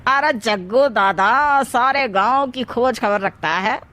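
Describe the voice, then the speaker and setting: Village gangster man performed by a woman, oily and falsely chummy, slow, high-set, storybook delivery. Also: sharp tone. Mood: smug, chummy. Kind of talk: moral story character voice.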